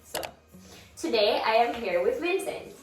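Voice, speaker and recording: A woman's voice: a little high, a little slow. Jamaican woman, young adult, casual vlog chat, echoey room, distant mic, faint music behind. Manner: animated, laughing, switches into Patois exclamations. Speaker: vlogger. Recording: roomy echo from a distance.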